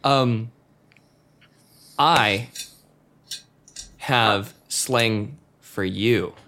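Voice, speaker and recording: A man speaks in an easygoing way on a casual podcast. He fills in context for his co-host and pauses with hesitant fillers. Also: medium pitch, bright, forceful tone, slight background noise. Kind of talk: casual chat.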